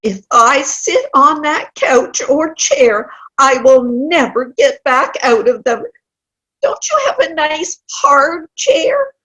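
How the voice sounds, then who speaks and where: A woman's voice: high voice, sing-song, fairly bright tone, full character voice, low-quality recording. A woman playing a querulous, sour old woman who complains about her pains in a comic play reading. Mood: pained, grumbling. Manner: querulous, slow, dramatic complaining. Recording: video call play reading.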